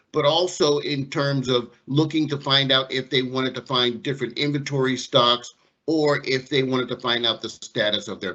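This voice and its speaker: A man's voice: medium pitch, pushed and bright. A man giving an explanatory, conversational business presentation as a technology specialist over an online meeting microphone. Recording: dull compressed online-call audio.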